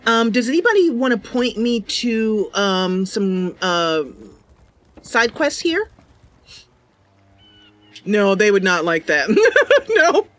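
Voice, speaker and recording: Chatty lady game streamer, friendly, slow pace but real up n down voice, music in back. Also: medium pitch, sing-song. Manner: giggly, chatty, welcoming. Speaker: streamer, roleplay game host. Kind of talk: casual livestream game commentary with narration.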